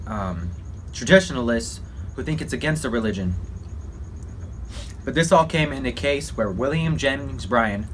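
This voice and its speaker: A man giving an informal, halting explainer as a mock news report, in simple slangy terms. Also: mid-range voice, slight background noise. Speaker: presenter in a student history project.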